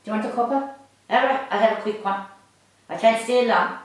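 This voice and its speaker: Woman acting a loud, high-pitched chirpy Irish village woman. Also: a little fast, heavy reverb, distant mic, lightly acted. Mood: bright, bustling. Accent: Irish, County Cork. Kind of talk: radio drama acting.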